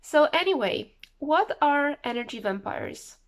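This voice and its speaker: A woman's voice: high-pitched, animated, measured pace. A woman with the flu talking casually to camera, rambling and repeating words for emphasis. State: sick with flu, voice a bit off. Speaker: vlogger.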